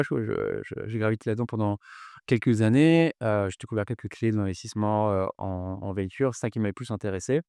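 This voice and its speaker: Man, thirties, podcast guest; low, clean voice, conversational with halting repeats, close dry sound. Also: darkish tone, clear, resonant tone, no room sound. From New Caledonia. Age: 30s. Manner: hesitant, frequent fillers and repeated words. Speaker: podcast guest, finance executive. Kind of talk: conversational interview answers.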